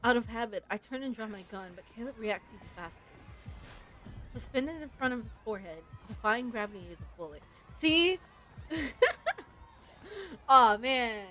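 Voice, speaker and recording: A woman's voice: on the high side, animated, unhurried, long pauses, bright, forceful tone. A woman livestreaming a game, chatting casually and reading its story aloud in a playful way. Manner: playful, giggly fan commentary. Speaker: game streamer. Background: game music.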